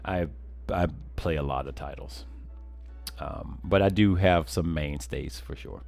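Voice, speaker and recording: A man's voice: extremely low pitch, measured pace, gravelly, darkish tone, soft background music. A man live streaming a game, chatting casually with viewers in a folksy, rambling way, often repeating words.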